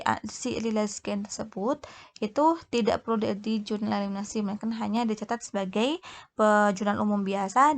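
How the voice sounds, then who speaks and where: Woman, creaky fry in the voice, mid-range pitch, halting tutor explaining with examples, frequent self-corrections. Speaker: accounting tutor.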